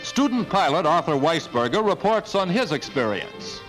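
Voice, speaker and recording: American guy doing old 50s newsreel narration, swoopy voice, portentous, loud music behind. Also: on the high side, big pitch swings, normal speed, rough recording. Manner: emphatic, portentous. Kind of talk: dramatic 1950s newsreel narration. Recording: old 1950s film soundtrack with music.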